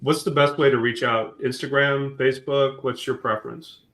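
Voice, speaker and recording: A Caribbean-born man hosts a casual interview, drawing out his guest with brief affirmations and long, meandering questions. His voice sits in an echoey room at a middle pitch. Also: fairly even intonation, moderate pace, fairly bright tone. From Caribbean, born in Guyana. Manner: encouraging, short affirmations, rambling questions. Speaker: host. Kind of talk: casual interview over a live stream.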